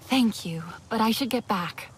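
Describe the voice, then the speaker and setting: A young woman warrior from a video game, played by an actress, with a fairly high voice. She speaks earnestly and steadily, as someone eager to help. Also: unhurried, a little hiss or hum, close to an everyday voice, low-quality recording. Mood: gentle.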